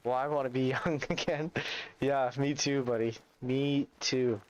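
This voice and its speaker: A man's voice: medium pitch, steady tone, a little slow, clear, resonant tone. Laid-back young man streaming. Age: 20s. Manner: laid-back, chatty, reads and answers chat. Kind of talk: casual livestream chat while gaming.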